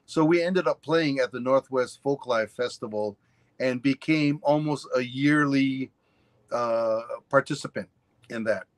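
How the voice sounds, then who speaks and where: An older man from Hawaii talks over a webcam call from his home, rambling through memories with plenty of fillers and side trips. His voice sits at a middle pitch, and the sound is dry and close, with no room echo. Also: measured pace. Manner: rambling storyteller, many fillers and asides. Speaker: ukulele teacher, guest. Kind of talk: casual interview chat. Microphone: webcam on a video call.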